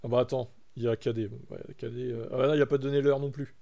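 A man's voice: medium pitch, flat delivery, fast, vocal fry, mellow. A young man from France chatting casually on a live stream, reacting to his chat and reading text aloud.

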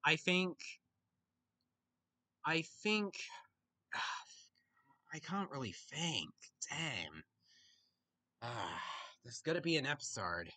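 A young man with an Australian accent, chatting casually on a webcam in his bedroom as he answers viewer questions, often pausing and restarting to think. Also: on the high side, big pitch swings, pushed and bright. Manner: halting, with frequent restarts and pauses to think. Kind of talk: casual Q&A chat. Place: small bedroom, indoors.

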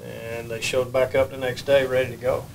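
An older man, a baseball head coach, answering questions at a postgame press conference in a plain, measured way at a table microphone. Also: medium pitch, little pitch movement, creaky, a little hiss or hum, low-quality recording. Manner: measured, plain-spoken, many restarts. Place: press room. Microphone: table mic.

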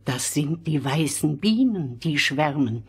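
Husky old grandmother. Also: deep voice, animated, slow pace, full character voice. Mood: mysterious. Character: kindly old grandmother telling winter tales. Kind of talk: German fairy-tale audio drama acting.

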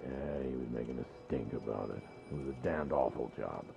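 Gruff armorer, extremely deep, gravelly, grumbling, video game, music behind. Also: male voice, moderate pace.

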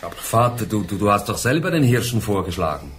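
A man's voice: lowish voice, very animated, fast, a bit bright, a little hiss or hum. An actor playing an earnest young assistant, a bit unsure when he speaks up. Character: sergeant's young son-in-law, earnest assistant.

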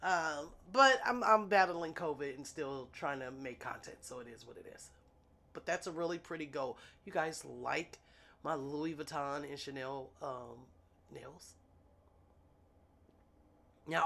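A woman's voice: low voice, gravelly, a bit bright. A woman, congested and sniffly from illness, chatting casually to her viewers while she unboxes nail gel at her desk. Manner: chatty, self-amused, talks to viewers as "y'all". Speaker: nail artist vlogger. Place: home nail desk, indoors.

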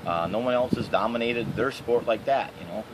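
A middle-aged man with a Michigan accent, answering interview questions outdoors in a halting way with many fillers. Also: medium pitch, noisy background. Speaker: interviewee, canoe racer. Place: outdoors by trees and a house.

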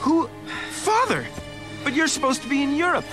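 An actor playing a bewildered, anxious young student in a radio drama, questioning in alarm. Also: male voice, very high voice, big pitch swings, moderate pace. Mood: panicked, confused. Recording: music and effects behind.

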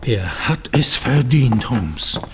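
An actor in a German audio drama playing a vengeful, self-righteous banker, speaking formally and bitterly. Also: male voice, mid-range voice, very animated, slow pace, a little hiss or hum. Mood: bitter, defiant.

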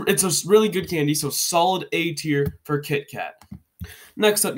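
A congested young man giving a joking, rambling rundown of candy rankings into his webcam at home. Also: a little high. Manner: joking, rambling, self-correcting. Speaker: vlogger. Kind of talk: casual ranking commentary.

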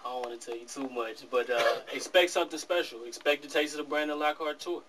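Young man, eighteen, rapid earnest talk, distant camera mic, faint room hum. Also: a little high, a bit bright. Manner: fast, earnest, laughs easily. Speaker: rap artist guest. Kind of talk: casual interview. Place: small office studio.

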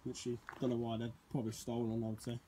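A man's voice: mid-range voice, monotone, racing, dark tone, slight background noise. A young man rambles casually as he explores overgrown woods, filmed on a handheld phone outdoors. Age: young adult. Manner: rambling, says "literally" a lot. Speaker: urban explorer vlogger. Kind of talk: casual walking vlog. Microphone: handheld phone camera.

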